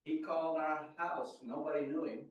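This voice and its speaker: A man's voice: a little high, fairly even intonation, normal speed, a little hiss or hum. An older Mexican-American man with a Mexican Spanish accent, telling family stories at a library talk with many hesitant fillers. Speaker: guest speaker. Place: large room at a public library. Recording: echoey room, far from mic, dull.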